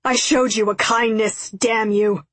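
A woman's voice: a little high, steady tone, unhurried, no room sound. A woman voicing a stern, tormented young man who makes formal, menacing declarations in an audiobook story. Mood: stern anger. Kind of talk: audiobook character acting.

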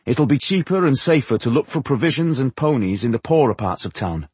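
Casting: male narrator as a level-headed dwarf leader, firm and practical, middle pitch, fantasy storybook. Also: expressive, brisk, darkish tone, husky, no room sound. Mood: cautious, firm.

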